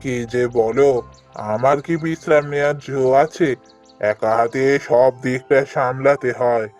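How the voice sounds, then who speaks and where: A man's voice: mid-range voice, steady tone, unhurried, a little mellow, faint music behind, natural voice. A man voicing a hard-working, protective elder brother, an earnest village farmer, in a cartoon. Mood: protesting. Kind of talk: cartoon voice acting.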